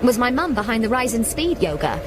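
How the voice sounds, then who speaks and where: A woman's voice: fairly high pitch, sing-song, fairly quick, noisy background. A woman playing a wry, wordy heroine who confides her surreal adventure as narrator of a comic radio drama. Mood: wry, agitated. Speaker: first-person narrator. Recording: music bed under the voice.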